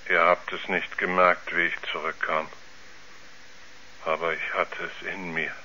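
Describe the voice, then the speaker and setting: Tormented, slow, tense, doomed man. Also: sits low, flat delivery, pushed and bright, full character voice. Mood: anguished, doomed. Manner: halting, anguished, pleading. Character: tormented doomed male astronaut confessing dark horror. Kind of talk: 1970s German radio drama acting. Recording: muffled old radio play, faint noise.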